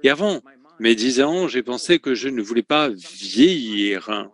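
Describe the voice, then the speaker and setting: A man giving a French voice-over of a conference talk, speaking in a measured way with frequent hesitations and self-corrections. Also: mid-range voice, expressive.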